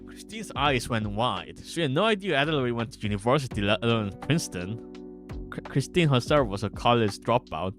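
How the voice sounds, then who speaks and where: A man's voice: mid-range voice, expressive, brisk. A man streaming, reading narration from their own visual novel aloud with casual asides. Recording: music bed behind voice. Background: guitar music.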